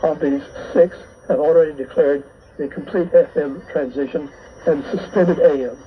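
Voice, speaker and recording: A man hosting a radio program, reading scripted listener reports in a measured, bulletin-like way over a shortwave broadcast. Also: fairly high pitch, steady tone, lo-fi sound. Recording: noisy, band-limited shortwave radio reception.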